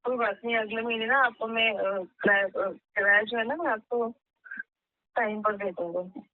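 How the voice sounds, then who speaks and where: A woman's voice: a little high, fairly even intonation, normal speed, lightly acted. A woman playing a worried, apologetic tenant, speaking in a hesitant, placating way in a staged phone call drama. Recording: narrow tinny phone line.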